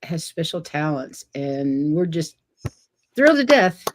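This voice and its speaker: A woman hosting a relaxed online chat show. Her voice sits low and she takes her time, easing along with fillers like "um" and gentle laughter between phrases. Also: a little hiss or hum. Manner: slow, chatty, with frequent fillers and soft laughs. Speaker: host. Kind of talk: casual livestream chat.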